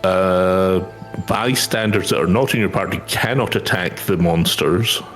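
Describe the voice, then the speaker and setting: A man's voice: deep voice, measured pace, fairly bright tone, soft background music. A man casually explaining quest requirements to a fellow player, partly reading from a guide.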